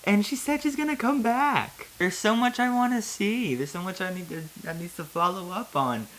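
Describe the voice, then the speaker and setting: A woman's voice: mid-range voice, lively intonation, normal speed, a little hiss or hum, rough recording. Theatrical woman reading fan fiction. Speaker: video host. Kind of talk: comic dramatic reading with casual commentary.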